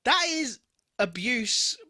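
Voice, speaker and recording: A man co-hosting a podcast in casual, expressive chat, reacting freely and swearing easily. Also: extremely high pitch, takes it slowly, no room sound, studio quality. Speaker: podcast co-host.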